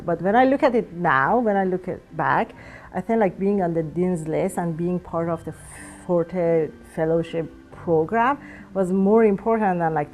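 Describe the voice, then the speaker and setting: Woman, 30s, native Farsi speaker, earnest interview answers, slightly low pitch, faint background music. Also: a little mellow, poor audio. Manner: earnest, searching for words. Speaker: alumna guest.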